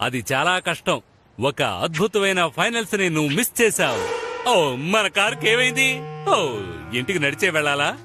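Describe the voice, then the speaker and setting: Fussy suburban cartoon dad, high fast voice, keeps exclaiming. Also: male voice, big pitch swings. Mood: flustered. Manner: flustered, exclaiming. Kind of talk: Telugu cartoon dub acting. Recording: loud music behind the voice.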